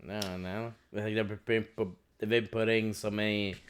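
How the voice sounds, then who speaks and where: A man's voice: on the low side, little pitch movement, measured pace, slight background noise. A man co-hosting a casual podcast chat, dropping short teasing comments. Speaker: podcast co-host.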